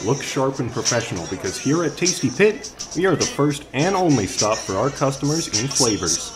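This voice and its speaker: Man acting an officious, mid-pitched store manager. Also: very animated, normal speed, music in the background, natural voice. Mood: stern. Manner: stern, formal, pompous. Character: officious, strict male fast-food manager, company loyalist. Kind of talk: audio drama acting.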